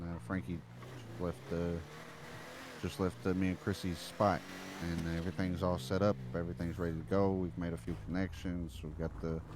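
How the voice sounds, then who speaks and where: An eager, deferential mobster, played by a man in a crime roleplay game. His voice is deep and he rambles with hesitant pauses and repeats. There is clear car noise behind him. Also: close to an everyday voice. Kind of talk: improvised online crime roleplay.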